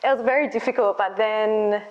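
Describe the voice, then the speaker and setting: A woman's voice: medium pitch, fairly even intonation, a little fast, dull audio. Australian woman in conversational interview. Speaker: podcast guest. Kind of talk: conversational interview, reflective personal talk.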